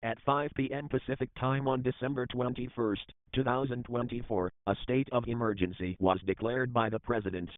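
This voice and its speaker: A man's voice: medium pitch, steady tone, moderate pace, few pauses, no room sound, phone-quality sound, natural voice. A man playing a formal emergency broadcast announcer, reading a scripted alert. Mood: grave, formal.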